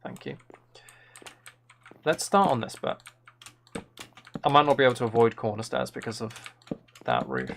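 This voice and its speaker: A man's voice: mid-range voice, sing-song, normal speed, creaky, long pauses, a bit bright, slight background noise. A man chatting casually on a live game stream, musing and thinking aloud as he plays. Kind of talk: casual live stream chat while playing.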